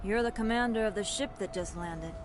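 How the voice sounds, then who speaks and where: A woman's voice: medium pitch, a little fast, over music, natural voice. A woman playing a nervous, evasive colonist. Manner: hesitant, stammering, evasive. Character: nervous young woman guarding a ship.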